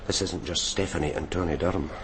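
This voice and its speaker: An actor playing a seasoned middle-aged police sergeant in a radio drama, terse, authoritative and grimly determined. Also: male voice, low voice, fairly even intonation, fast, noisy background, close to an everyday voice. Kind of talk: naturalistic radio drama acting.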